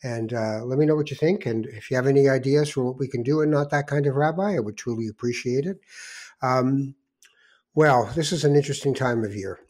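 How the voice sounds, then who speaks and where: Slightly husky older Canadian man, reflective. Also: medium pitch, fairly quick, a little mellow. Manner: rambling, reflective storytelling with digressions. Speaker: podcast host. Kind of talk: casual conversational podcast monologue.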